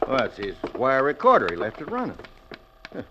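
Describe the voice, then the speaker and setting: Steady sensible guy who hollers names and calms folks down, dull old radio sound. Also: medium pitch, fairly quick, background noise. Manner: calls out loudly, calms others. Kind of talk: 1940s radio acting. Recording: muffled old 1940s radio recording.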